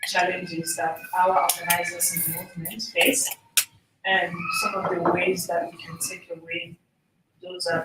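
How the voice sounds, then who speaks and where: A woman's voice: lowish voice, heavy background noise. Woman workshop presenter, informal and questioning; distant mic, heavy room echo. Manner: informal, engages audience with questions. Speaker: presenter, program officer. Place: conference room.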